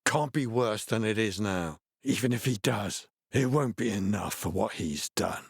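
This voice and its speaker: An actor playing a laid-back, earnest middle-aged hippie healer in a video game, explaining things in an easygoing way. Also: male voice, on the low side, lively intonation, a little slow, vocal fry, husky, no echo, studio quality, exaggerated voice. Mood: calm, resigned. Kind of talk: video game character dialogue.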